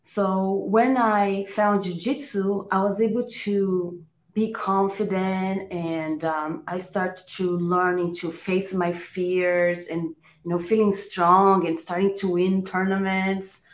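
A woman with a Brazilian accent talks conversationally as a podcast guest, her Portuguese roots audible in her English. Her pitch sits in the middle range, and the room adds a clear echo around her voice. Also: little pitch movement, slow. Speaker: podcast guest, coach and therapist. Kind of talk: conversational interview answers. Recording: roomy, echoey room sound.

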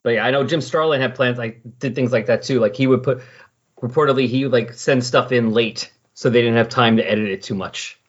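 Husky-voiced man chatting, slightly muffled. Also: mid-range voice, a little fast. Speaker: podcast co-commentator on comic books. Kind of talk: casual chat, recounting comic book plots. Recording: slightly dull, lo-fi audio.

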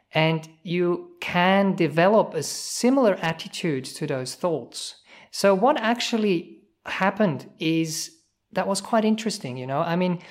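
A man from Switzerland, a Buddhist monk leading a meditation by telling a relaxed personal story, hesitant, with many fillers and soft chuckles. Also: a little high.

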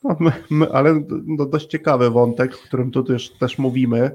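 A man's voice: medium pitch, fairly even intonation, moderate pace, darkish tone. This is a male podcast host with a mid-range voice. He talks in a conversational interview style, wordy and affirming toward the people he is speaking with.

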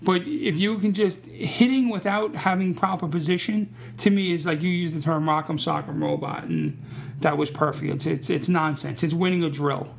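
A man's voice: fairly high pitch, expressive, normal speed, creaky. A man who teaches martial arts, talking casually and bluntly, often repeating words as he restarts sentences. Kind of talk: casual podcast conversation.

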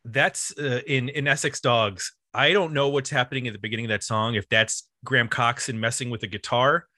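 A man casually discussing music as a podcast host, talking in a hesitant, halting way. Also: mid-range voice, bright, forceful tone, dry recording, studio quality.

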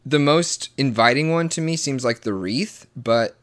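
Man, late twenties, casual chat, halting, rambling, sarcastic, mid pitch. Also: a bit bright. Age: 20s. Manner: rambling, stammering restarts, sarcastic asides. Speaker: guest. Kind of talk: casual conversation.

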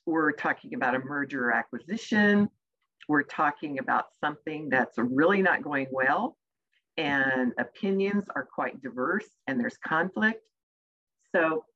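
A woman with a soft voice, an executive communication coach answering interview questions in a measured way with deliberate pauses. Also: low voice, muffled recording.

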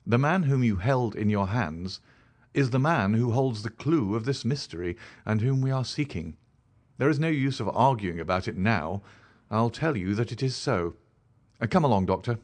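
A man reading a crisp, impatient detective. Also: mid-range voice, animated, darkish tone, natural voice. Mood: brisk. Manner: crisp, commanding, quick questions. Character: sharp, brisk male detective, impatient and clever. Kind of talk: audiobook character voice.

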